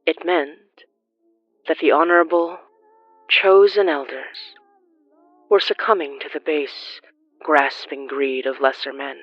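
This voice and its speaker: Medium-pitched narrator, formal horror storytelling, measured delivery, dry close sound, faint music underneath. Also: no echo, highs cut off. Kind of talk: scripted horror fiction narration.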